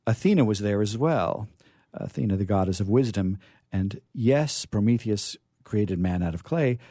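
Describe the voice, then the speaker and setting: A man's voice: lowish voice, warm tone, dry recording, clean studio sound. A man hosting an informal literary discussion, speaking in a hesitant, thoughtful way with repetition for emphasis. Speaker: host.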